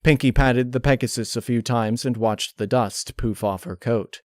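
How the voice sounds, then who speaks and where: A man reading a dark horror story aloud as a scripted narrator, close on the mic. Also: mid-range voice, moderate pace, dry recording.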